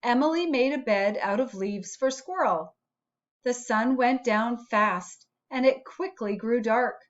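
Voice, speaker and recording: A woman narrating a children's storybook aloud in a measured, expressive storytelling manner. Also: fairly high pitch, lo-fi sound. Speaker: storybook reader.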